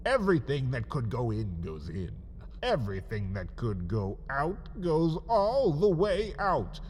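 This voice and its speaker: A man narrating a scripted comic story in the second person, theatrical and melodramatic, with dramatic pauses. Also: medium pitch, wildly swooping pitch, slight background noise. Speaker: writer and narrator of a comedy story podcast.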